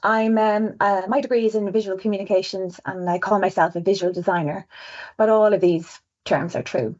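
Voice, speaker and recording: A woman with an Irish accent, a visual designer presenting survey results on a webinar in a quick, chatty way. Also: medium pitch, fairly even intonation, a little mellow. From Ireland. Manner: fast-talking, chatty, self-aware. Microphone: video call. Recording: dull lo-fi webinar audio.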